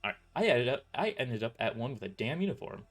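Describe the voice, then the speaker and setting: A man's voice: medium pitch, sing-song, fast. A man narrating a scripted first-person story in an informal way, stumbling and correcting himself as he reads. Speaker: author narrating own novel.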